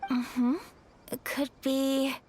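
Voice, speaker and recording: A woman's voice: high-pitched, monotone, extremely slow, darkish tone, a little hiss or hum. A woman voicing a refined, gracious young noblewoman in a video game, very polite and formal. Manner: very polite, genteel.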